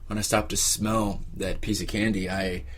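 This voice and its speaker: A man giving casual, reflective interview answers, haltingly searching for his words. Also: fairly low pitch, flat delivery. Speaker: interviewee, exercise participant.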